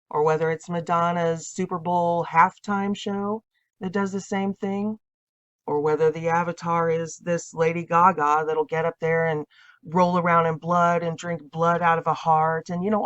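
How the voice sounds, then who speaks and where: A nasal, stuffy-sounding woman talks straight to her viewers in a fervent, preachy, rambling way. The sound is dull and muffled. The room is dry, with no echo at all. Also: on the low side. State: recovering from illness, congested. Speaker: online video host. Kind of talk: casual preaching monologue to camera.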